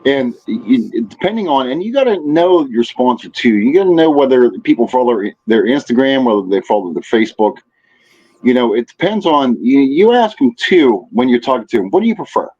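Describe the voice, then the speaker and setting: Slightly muffled, lo-fi audio of a man as a podcast guest. He has a medium-pitched voice and gives advice in a casual, rambling way, often dropping in filler phrases. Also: very animated, brisk, a little mellow. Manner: rambling, peppered with "you know". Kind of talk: casual chat, giving advice. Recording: dull, lo-fi audio.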